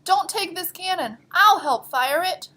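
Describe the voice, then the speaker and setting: A woman's voice: very high-pitched, expressive, unhurried, pushed and bright, exaggerated voice. A woman playing plucky, defiant colonial women in an amateur comic read-aloud. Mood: resolute.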